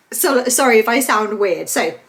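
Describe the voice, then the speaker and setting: A woman's voice: on the high side, expressive, slow, fairly bright tone. Chatty woman with a stuffy nose. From United Kingdom. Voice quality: slightly stuffy, nasal. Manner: chatty, enthusiastic, lots of fillers. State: congested from hay fever. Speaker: book reviewer vlogger. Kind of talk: casual chatty book review. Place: bright room at home.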